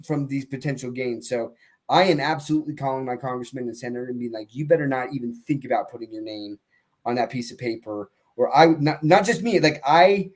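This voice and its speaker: A man's voice: medium pitch, darkish tone. Rambling, heated podcast man. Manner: rambling, restarts often, heated about politics. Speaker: podcast panelist. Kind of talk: casual podcast chat.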